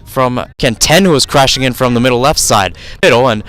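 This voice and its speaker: Male radio soccer commentator, mid-pitched voice, live outdoor call, digressive and self-correcting. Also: brisk, a bit bright. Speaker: radio play-by-play announcer. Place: outdoors at youth soccer fields.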